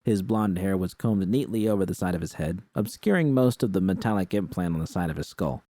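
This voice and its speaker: A man's voice: low voice, expressive, a little fast, dark tone, no echo. A man reading a first-person story as a scripted narration. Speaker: audiobook-style narrator of fan fiction.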